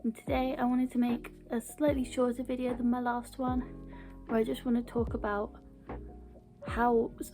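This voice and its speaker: A young British woman with a mild stammer who talks earnestly to camera in a casual personal style and sometimes repeats small words. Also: on the high side. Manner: earnest, with small word repetitions. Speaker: video blogger. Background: background music.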